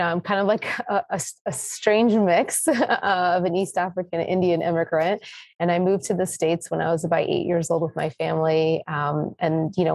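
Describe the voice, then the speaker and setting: Thirties woman from Tanzania as a chatty interview guest, slightly low voice, dry close recording. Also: a little mellow, no room sound. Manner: rambling, many fillers and restarts. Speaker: guest, company founder. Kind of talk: casual interview talk.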